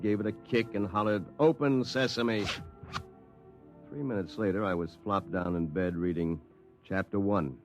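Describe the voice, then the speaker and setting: A man's voice: lowish voice, close to an everyday voice. An actor narrating as a weary, rueful lawyer telling his own story in a 1950s radio drama.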